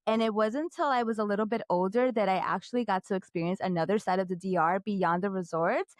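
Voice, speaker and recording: A woman's voice: medium pitch, dry recording, clean studio sound. A woman from the Dominican Republic co-hosting a travel podcast, upbeat and chatty, often saying "like". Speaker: podcast co-host. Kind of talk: casual podcast chat.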